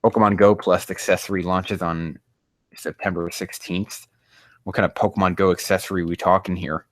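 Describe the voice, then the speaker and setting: A man with a low voice hosts a casual livestream, recorded dry and close with very little room sound. He rambles haltingly, often muttering to himself, and swears offhandedly as he goes. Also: flat delivery, no room sound. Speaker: livestream host.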